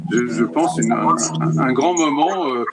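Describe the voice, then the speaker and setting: A man speaking as a panel guest, pausing often with fillers. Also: mid-range voice, expressive. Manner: hesitant with many fillers. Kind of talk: panel discussion by video call. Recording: loud background noise.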